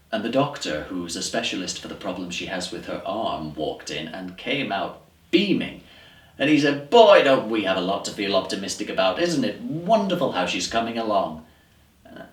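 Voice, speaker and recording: An actor playing an upset, disillusioned theatre man in a stage monologue, musing haltingly and emphatically, with repeated words. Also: low voice. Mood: sombre, strained. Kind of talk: dramatic audition monologue, conversational stage acting. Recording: roomy echo, distant microphone.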